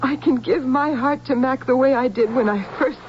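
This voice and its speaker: A woman's voice: high voice, normal speed, close to an everyday voice. A woman playing a tender, innocent, lovestruck young humanoid with emotional, theatrical radio drama delivery. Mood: joyful wonder. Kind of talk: old-time radio drama acting. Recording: dull, faintly hissy old radio recording.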